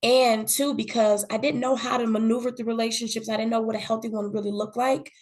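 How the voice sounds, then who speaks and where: Earnest woman, fairly high voice, candid chat. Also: level tone, fairly quick, darkish tone. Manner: earnest, repeats words, often says "you know what I'm saying". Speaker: podcast guest. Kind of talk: candid conversational talk.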